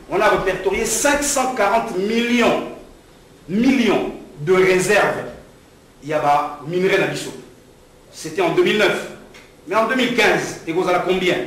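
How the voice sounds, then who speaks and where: A man from Congo addresses a press conference with rousing, preacher-like fervor. His voice sits a little high. He is heard from a distance in a strongly reverberant hall with faint background noise. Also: a bit bright. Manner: rousing, rising to fervent preaching pitch when speaking to the crowd. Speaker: political candidate at a press conference. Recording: echoey hall from far off, some noise.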